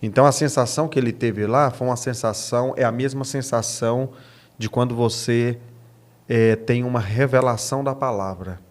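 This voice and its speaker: A Brazilian man with a mid-pitched voice talks as a guest pastor on a podcast. He teaches in a conversational, explanatory way, raising questions, answering them himself and restating his main points. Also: normal speed. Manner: explanatory, asks and answers own questions, repeats key phrases.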